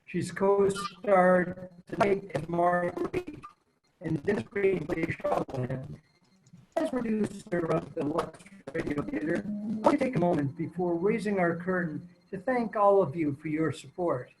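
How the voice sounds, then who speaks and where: Give this to a man playing a 1930s-style radio announcer with a notably high voice. He reads formally but haltingly, stumbling now and then, with clear music behind him. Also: animated, exaggerated voice, rough recording. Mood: formal, narrating. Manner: halting, stumbling table-read delivery. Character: old-time radio announcer and producer hosting a broadcast. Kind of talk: 1930s radio show read aloud. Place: virtual table read from home.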